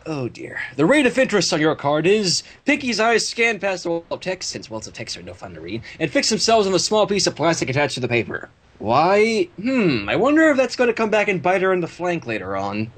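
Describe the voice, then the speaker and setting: A man with a husky, expressive voice, reading a story aloud and bantering casually. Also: high voice, brisk. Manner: animated, expressive. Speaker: fan fiction reader on a livestream.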